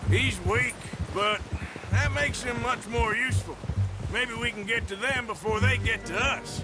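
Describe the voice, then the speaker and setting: A man's voice: extremely high pitch, measured pace, over music. An actor playing a seasoned, tough outlaw gunslinger in a video game, a man with a dry, plainspoken American Western drawl. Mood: wry, hard. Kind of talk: video game dramatic dialogue.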